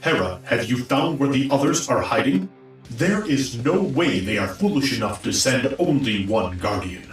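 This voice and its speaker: A man's voice: medium pitch, expressive, normal speed, lightly acted. A man acting an imperious, threatening villain leader in a superhero audio drama. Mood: commanding. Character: menacing commanding villain leader. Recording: very echoey, distant-sounding, music underneath.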